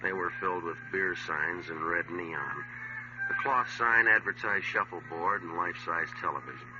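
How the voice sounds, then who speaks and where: Dull noisy old radio recording, low-voiced American male detective played by an actor, deadpan, businesslike, brisk. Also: close to an everyday voice. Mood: terse, businesslike. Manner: deadpan, matter-of-fact. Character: terse, businesslike male police detective who narrates. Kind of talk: 1950s radio drama acting, clipped deadpan questioning and narration.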